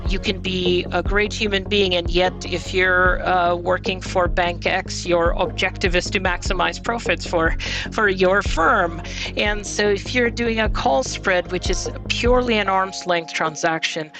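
A man's voice: sits high, measured pace, music in the background. A man who grew up in Bangladesh speaks as an interview guest. He walks through his answers steadily and plainly, with a few small "uh"s along the way. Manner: steady and explanatory, with occasional uh fillers. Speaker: guest finance advisor.

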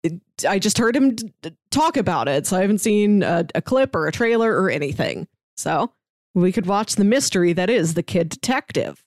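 A woman podcast co-host with a mid-pitched voice, recorded close and dry. She talks casually and chattily, pausing and hedging with little asides as she presents things to her co-host. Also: animated, darkish tone, no echo. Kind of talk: casual podcast chat, pitching films and reading synopses.

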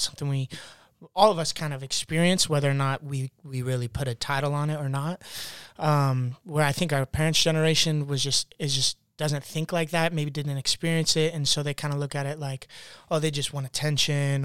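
A man's voice: fairly high pitch, a bit bright, clear, resonant tone, no room sound, studio quality. A man of twenty talking casually as a guest in a podcast discussion.

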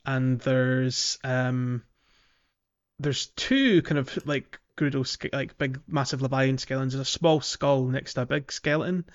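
A man's voice: medium pitch, lo-fi sound. A man hosting a casual video game podcast, explaining things in a hesitant, rambling way. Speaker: podcast host.

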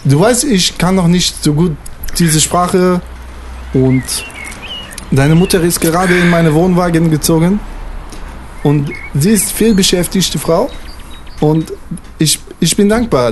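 A man voicing a meek, grateful French immigrant father who speaks haltingly in broken grammar with a French accent. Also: fairly high pitch, slight background noise, close to an everyday voice. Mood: earnest, hesitant. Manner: halting, broken non-native grammar, earnest. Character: meek, grateful French immigrant stepfather pleading with his son.

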